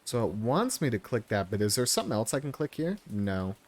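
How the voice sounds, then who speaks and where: Man streaming with lively, swinging intonation. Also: mid-range voice, brisk, a little hiss or hum. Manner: informal, sweary, joking. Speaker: game streamer. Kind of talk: casual live playthrough commentary, reading text aloud.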